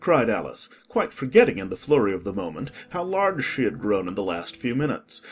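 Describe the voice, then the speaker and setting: A man narrating a storybook in a lively, expressive manner. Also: mid-range voice, breathy edge.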